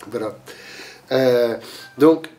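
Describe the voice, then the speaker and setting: A man's voice: medium pitch, extremely slow, dark tone, far from the mic. A man with a cold giving a talk to a library audience, lecturing with lots of fillers and restarts, coughing now and then. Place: library meeting room.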